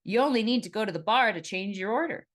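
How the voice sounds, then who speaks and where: A woman's voice: mid-range voice, expressive, fast talker, lightly acted. A woman voicing an eager, polite eighteen-year-old Navy sailor from the forties in an audiobook, with a folksy, courteous way of talking. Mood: pleased.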